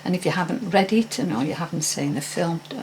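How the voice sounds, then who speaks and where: A woman's voice: fairly low pitch, quick pace, a little mellow, a little hiss or hum, poor audio. An older woman telling a personal story in a casual, hesitant, meandering way with frequent restarts. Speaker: vlogger.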